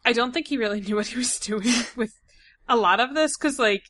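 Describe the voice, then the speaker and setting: A woman with a husky voice chatting casually as a podcast host, giving her opinions emphatically. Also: a little high, brisk, a bit bright. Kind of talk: casual discussion among co-hosts.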